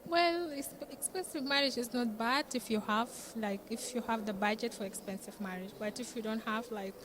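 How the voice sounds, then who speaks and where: A woman from South Sudan answering street interview questions in a relaxed, confident way, often saying "like". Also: on the high side, normal speed, noisy background.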